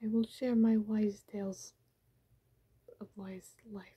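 A young woman whispering as a vampire disguised as a pitiful old woman, slow and pleading. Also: medium pitch, little pitch movement, dark tone. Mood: mournful pleading. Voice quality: breathy whisper. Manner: slow, pleading, mock-mournful. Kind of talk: whispered ASMR roleplay. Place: small room with pink backdrop.

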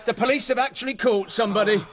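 A man's voice: very high-pitched, fairly even intonation, fast talker. An actor playing a wry, offhand male gaming-house worker in a radio drama. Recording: crowd noise behind. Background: busy crowd in a gaming house.

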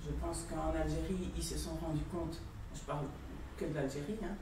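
A speaker in a public discussion, halting with many fillers, recorded by a far camera in a room. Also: medium pitch, fairly even intonation, very echoey, noisy background. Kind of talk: debate contribution.

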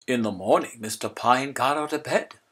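A man reading a children's story aloud in an expressive, emphatic way. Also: mid-range voice, normal speed, husky. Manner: expressive, emphatic, repeating phrases for effect. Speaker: storybook reader. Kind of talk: animated children's read-aloud narration.

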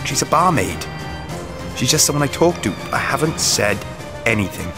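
A man's voice: mid-range voice, big pitch swings, moderate pace, over loud music. An actor playing a guarded, lonely young man in an audio drama, hesitant and defensive.

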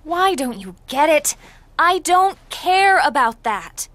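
A woman's voice: sits high, very animated, slow, a bit bright, dry recording, full character voice. A woman playing a feisty, caring teenage girl in a video game, with an assertive, scolding manner. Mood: reproachful. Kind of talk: video game cutscene acting.

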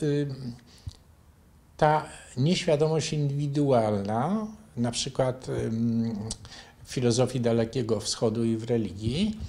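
An older man from Poland speaks as an interview guest. His pitch sits in an ordinary middle range for a man. He explains his points in a measured way, like a teacher setting out definitions. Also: mellow. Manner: measured, didactic. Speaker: interview guest, bioenergy therapy teacher and author. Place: furnished living room, indoors.

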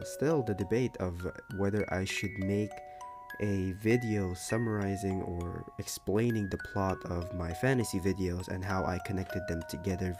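A man talking casually and informally to his viewers as a channel creator, in a rambling way. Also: deep voice. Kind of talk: casual outro chat to viewers.

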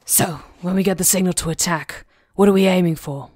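A woman's voice: lowish voice, a little fast, darkish tone, close to an everyday voice. A woman voicing a brash, impatient female werewolf pack chief with a crude, commanding manner in an ASMR roleplay.

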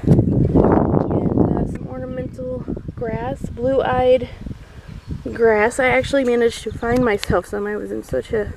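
A woman with a slightly high, gravelly voice chats her way through a garden tour, unsure of some plant names. She talks outdoors into a handheld camera mic, and loud outdoor noise sits behind her. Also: level tone, takes it slowly. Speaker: guide filming a garden tour. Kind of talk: casual walking garden tour.

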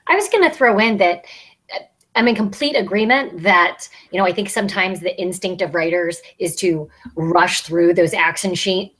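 A woman's voice: lowish voice, a bit bright. A woman in her thirties speaking as a podcast panelist in a casual discussion, on an earbud mic over a video call from a small room. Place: small plain room, indoors. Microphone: earbud headset on a video call.

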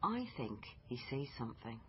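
An actress playing a persistent, matter-of-fact police family liaison officer in a naturalistic radio drama. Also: female voice, rumbling low, sing-song, normal speed. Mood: puzzled, explaining. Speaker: actor in radio crime drama. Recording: dull, noisy lo-fi radio recording.

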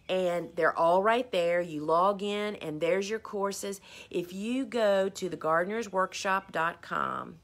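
A woman's voice: lowish voice, fairly bright tone. A woman, hosting a casual live stream and answering viewer questions in a chatty, rambling, self-deprecating way. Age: 50s. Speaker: host.

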